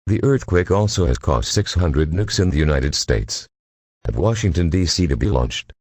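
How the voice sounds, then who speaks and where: A man's voice: low-pitched, animated, moderate pace, a little mellow, slightly rough, no room sound, clean studio sound. A synthetic-sounding man reading a scripted emergency alert broadcast as the announcer.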